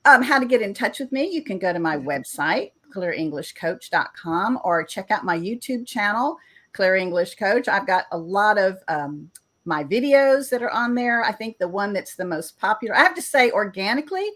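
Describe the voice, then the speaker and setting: A woman with a mid-range voice talks over a video call. She coaches English communication and explains her points lively and clearly in casual interview chat. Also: mid-range voice, moderate pace.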